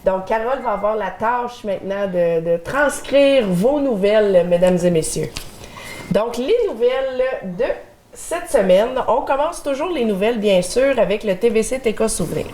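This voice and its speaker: A woman's voice: on the high side, animated, moderate pace, mellow. A middle-aged woman with a Québécois French accent hosting a local community TV show, chatting and reading the news with fillers. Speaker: community TV host.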